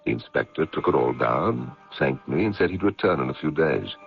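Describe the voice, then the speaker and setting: An actor playing a protective, indignant gentleman editor in a radio mystery, with a gravelly, husky, emphatic delivery. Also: extremely low pitch, big pitch swings, moderate pace, soft background music, heavily acted. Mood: grave narrating. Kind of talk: radio drama acting, dramatic dialogue and first-person narration. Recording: dull, lo-fi vintage radio recording.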